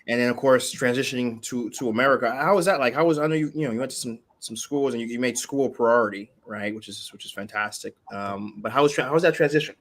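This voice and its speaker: A man's voice: medium pitch, quick pace, few pauses. A man in his thirties hosting a live-stream interview, asking rambling questions with many restarts. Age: 30s. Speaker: host.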